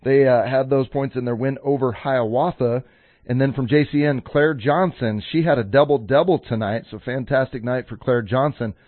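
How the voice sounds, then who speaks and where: An easygoing man from Colorado co-hosts a sports radio show and reads out high school scores with enthusiasm. His voice is mid-pitched. The sound is dry and narrow, like a phone call. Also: normal speed, no room sound. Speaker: radio co-host. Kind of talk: casual sports radio chat.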